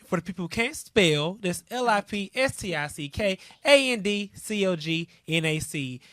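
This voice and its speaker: A man's voice: sits high, expressive, normal speed, a bit bright, no room sound. Playful teasing male co-host, dry sound. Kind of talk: casual banter.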